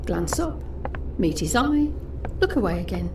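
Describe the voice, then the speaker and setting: A woman's voice: lowish voice, very animated, measured pace, noisy background. An actress playing a jaded, snobbish young British woman who confides private thoughts in a confessional, sardonic audio drama monologue. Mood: haughty, sardonic. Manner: confessional, sardonic, muttering asides to herself.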